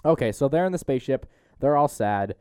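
A man's voice: medium pitch, expressive, brisk, darkish tone, clear, resonant tone, no room sound. A young man hosting a casual movie review podcast, talking in a joking, slangy way. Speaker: podcast host.

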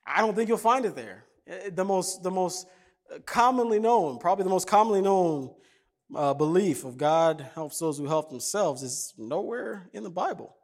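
Lively conversational preacher man. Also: high voice, normal speed. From Kenya. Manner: conversational, jokey, animated. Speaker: pastor. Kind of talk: casual sermon with stories.

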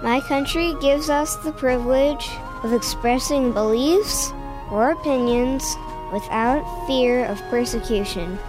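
High-voiced woman reciting over music. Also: unhurried. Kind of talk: scripted patriotic recitation.

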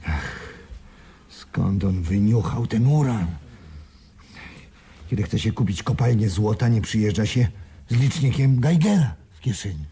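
An actor in a Polish radio play playing a skeptical, wry business partner with a dry manner. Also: male voice, fairly low pitch, animated, a little slow, long pauses, warm tone, slight background noise. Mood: baffled.

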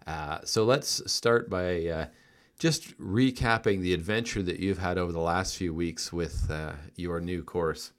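A man's voice: low-pitched, measured pace. A man chatting casually as co-host of a podcast. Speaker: podcast co-host, company co-founder.

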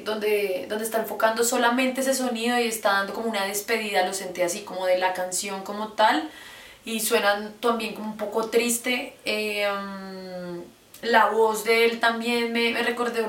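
A woman's voice: mid-range voice, a bit bright. A woman co-hosting a casual music review, hesitant and searching for words as she describes songs. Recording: roomy, distant mic with faint noise.

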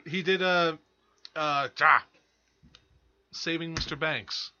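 Wisecracking man with a sharp, bright voice. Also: a little high, steady tone, takes it slowly, muffled recording, slight background noise. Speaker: podcast co-host. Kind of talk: casual film chat.

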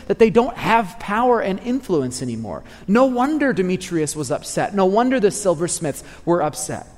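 A man's voice: high-pitched, long reverb tail. A man preaching a sermon, earnest and repeating phrases for emphasis.

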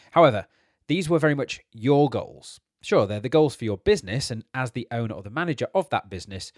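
A man hosts a business podcast with a slight croak from a cold. He sounds upbeat and encouraging, and his pitch moves around a lot. The sound is dry and close, with no room echo. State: hoarse from a cold. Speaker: podcast host. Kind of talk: solo business podcast talk.

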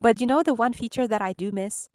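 A woman's voice: fairly high pitch, darkish tone, no echo, clean studio sound. A woman with a Ukrainian accent co-hosting a podcast, chatting eagerly about tech and explaining at length. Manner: enthusiastic, explains at length with quick restarts. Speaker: podcast co-host. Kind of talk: casual tech chat on a live podcast.

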